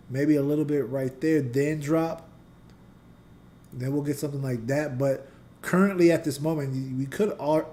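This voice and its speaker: A man with a slightly high yet mellow, warm voice talks through market charts as a live host. He keeps it loose and conversational, addressing his chat directly. His voice has a slight scratchiness to it. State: voice getting scratchy. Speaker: trading channel host and teacher. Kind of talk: casual live chart analysis.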